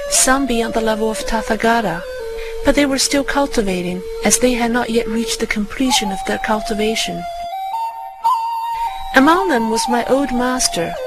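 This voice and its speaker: A woman reading a scripted first-person spiritual story in a measured storytelling manner. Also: on the high side, low-quality recording. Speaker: narrator. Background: music under the voice.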